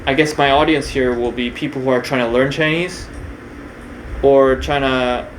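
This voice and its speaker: A man's voice: medium pitch, steady tone, moderate pace, a little hiss or hum, rough recording. A young man from Korea, partly raised in New Zealand, talking off the cuff in a casual monologue, with many fillers and restarts. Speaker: video creator.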